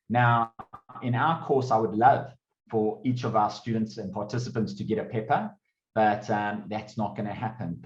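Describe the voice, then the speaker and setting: A man's voice: mid-range voice, a little mellow, roomy sound, dull audio. A man giving a casual, upbeat presentation at an online open day, talking with fillers and restarts. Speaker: presenter of a coding course.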